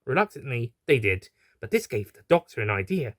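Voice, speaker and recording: A man's voice: mid-range voice, sing-song, a little fast, no echo, clean studio sound. A man reading a scripted adventure story as its narrator.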